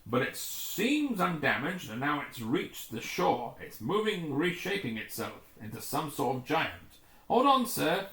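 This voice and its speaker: A man's voice: on the high side, big pitch swings, measured pace, a bit bright, reverberant. A man voicing a space station officer urgently reporting danger in a sci-fi adventure story. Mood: tense.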